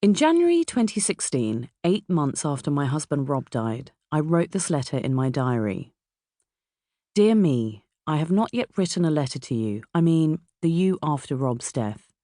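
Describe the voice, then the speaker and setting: A middle-aged woman from England with a southern English accent reads a memoir as its author, in a measured, expressive way. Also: low-pitched, no room sound, studio quality. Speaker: author narrating own audiobook. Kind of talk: scripted memoir narration. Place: dry recording studio.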